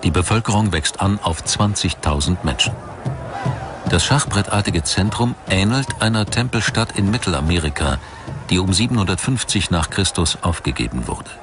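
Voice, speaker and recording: A man reading scripted documentary narration in a measured, articulate manner. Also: low voice, animated, background noise.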